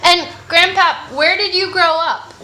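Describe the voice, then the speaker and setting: A woman with a high, bright voice interviews her grandfather. She speaks slowly and keeps him going with short, encouraging prompts. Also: steady tone, slight background noise. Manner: encouraging listener with short replies and laughs. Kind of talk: casual family interview.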